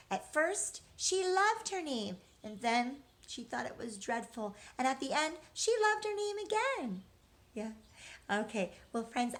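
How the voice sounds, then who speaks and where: A woman with a fairly high voice speaks as a teacher to young children. She talks directly to her little listeners, posing simple questions and supplying the answers. Her manner is encouraging and reassuring throughout. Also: fairly bright tone. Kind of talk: interactive children's read-aloud and lesson.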